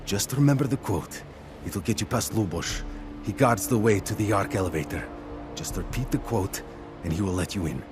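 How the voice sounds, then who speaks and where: A man's voice: fairly low pitch, animated, over music, close to an everyday voice. An actor playing a tired, nervous augmented repairman who halts and fumbles for words in a video game cutscene. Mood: relieved. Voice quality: halting, weary, fumbling for words.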